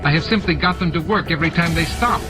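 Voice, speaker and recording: A man's voice: a little high, fast talker, pitched above the performer's own voice, close to an everyday voice. A man playing a nervous, apologetic alien who cowers before his brother, anxious and defensive, in an audiobook drama. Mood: alarmed, defensive. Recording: music behind.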